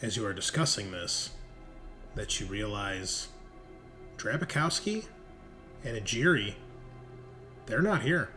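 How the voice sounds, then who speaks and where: A man narrating a tabletop roleplaying game as game master, in an animated, conversational way. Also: lowish voice, slow, long pauses. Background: music.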